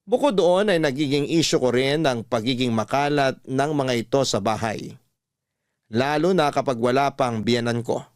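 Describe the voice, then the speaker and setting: A man's voice: medium pitch, normal speed, no room sound. Dry-sounding Filipino male story narrator. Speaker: radio-style story narrator. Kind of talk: first-person dramatic storytelling, reading a letter. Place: dry studio, music behind.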